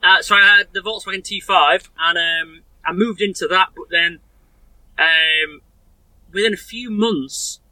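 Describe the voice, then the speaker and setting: A Yorkshire man in his thirties chats as a guest, circling his point with false starts and self-deprecating asides. His voice sits high and is strikingly bright, recorded dry and close. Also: dry recording, poor audio. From Sheffield, England. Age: 30s. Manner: rambling, self-deprecating, restarts sentences. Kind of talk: casual chat.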